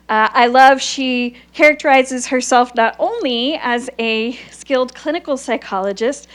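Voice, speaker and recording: A woman hosting a lecture series, enthusiastically introducing the guest speaker with frequent "uh"s. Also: a little high. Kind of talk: formal introduction at a lecture event.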